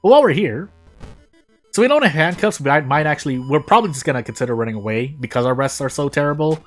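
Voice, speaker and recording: An American man streaming a game, thinking aloud in casual commentary with frequent restarts and sudden jumps in pitch. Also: expressive, fast. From United States. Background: faint game music.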